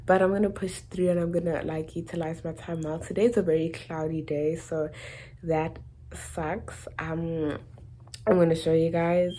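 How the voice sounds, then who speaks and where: A young South African woman chatting to her camera in a rambling, casual way, tired and low on energy. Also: level tone, normal speed, dark tone, a little hiss or hum. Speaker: vlogger. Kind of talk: casual vlog chat to camera. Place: indoors, then out in the city streets.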